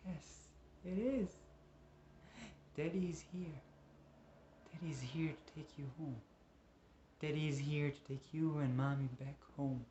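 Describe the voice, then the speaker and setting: A man performing a dramatic monologue as a lonely, embittered father, emotive and moving between hushed and agitated. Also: mid-range voice, lively intonation, moderate pace, highs cut off, a little hiss or hum, natural voice. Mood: longing. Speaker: monologue actor. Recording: roomy, echoing room.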